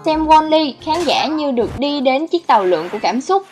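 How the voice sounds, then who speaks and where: High-pitched woman narrating over music. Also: sing-song, normal speed, a little mellow. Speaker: narrator of a film countdown channel.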